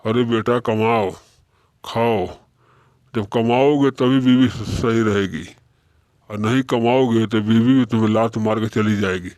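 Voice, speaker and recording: A man's voice: fairly low pitch, measured pace, darkish tone, no room sound, clean studio sound, natural voice. A man playing a poor old father in a moral-story cartoon, lecturing his son in a plaintive, reproachful way. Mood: scolding.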